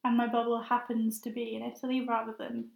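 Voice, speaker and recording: High-voiced young woman, hesitant and thoughtful. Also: monotone, brisk, creaky, a little mellow. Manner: hesitant, thoughtful, many fillers. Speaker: guest, master's student. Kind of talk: casual conversational interview. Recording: roomy echo.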